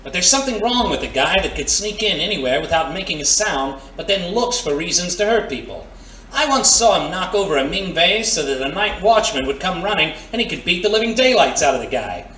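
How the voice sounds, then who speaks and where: A man's voice: high-pitched, lively intonation, fairly quick, sharp tone. A man playing a brash, wisecracking ex-thief in a pulp adventure audio drama. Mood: wary, serious. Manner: wisecracking, slangy tough-guy talk. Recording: roomy, distant mic, faint noise.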